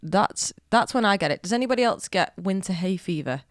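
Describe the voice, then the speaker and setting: A woman's voice: medium pitch, animated, fairly quick, no room sound. A woman musician hosting a live stream, chatting casually with viewers and greeting them by name, her nose a little stuffed up, on a studio dynamic mic. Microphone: studio dynamic microphone. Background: guitar playing.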